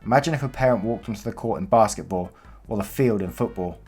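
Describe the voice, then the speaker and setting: A man's voice: lowish voice, fast, a little mellow, husky. A man reading online stories aloud, telling them plainly and adding offhand comments of his own. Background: faint background music.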